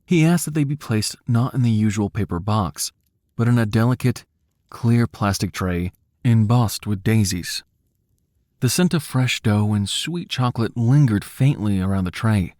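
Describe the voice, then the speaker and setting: Low-voiced man narrating a solemn story. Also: no echo, clean studio sound. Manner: measured, solemn, hushed. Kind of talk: cinematic, dramatic scripted story narration.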